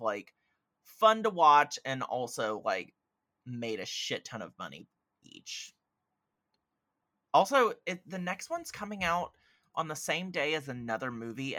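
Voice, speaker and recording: A man's voice: medium pitch, dry recording, studio quality. A man hosting a podcast, talking about films in a casual, opinionated and emphatic way. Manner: animated, opinionated, profanity-prone, emphatic. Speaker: podcast host. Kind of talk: casual film discussion.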